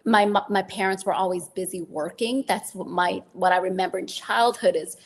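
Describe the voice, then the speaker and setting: A woman's voice: medium pitch, moderate pace. A woman interview guest speaking informally and telling personal stories in a casual, conversational way. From Vietnamese refugee family, raised in Germany and the US. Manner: informal, often says "you know" and "right".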